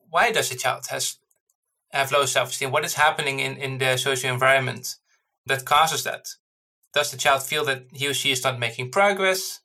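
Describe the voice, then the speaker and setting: Bright, tense-voiced male researcher. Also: medium pitch. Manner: explanatory, careful, repeats words. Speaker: education researcher. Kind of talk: interview answers.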